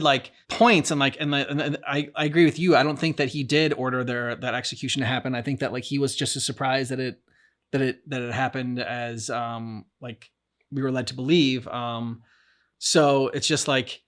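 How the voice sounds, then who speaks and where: A man hosting a reaction show, talking casually about a game's story in a halting, rambling way as he searches for words. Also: mid-range voice, fairly bright tone.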